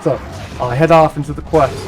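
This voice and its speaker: A man with a cold and a nasal, slightly gravelly voice, giving chatty, self-deprecating voice-over commentary over gameplay. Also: a little high, steady tone, fairly quick, far from the mic, music in the background. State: has a cold. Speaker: gaming channel host.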